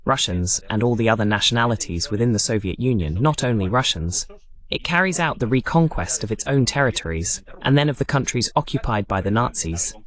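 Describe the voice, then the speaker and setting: A man's voice: medium pitch, animated, brisk, fairly bright tone. A man hosting a live political broadcast, arguing emphatically with rhetorical questions and repetition. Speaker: political show host.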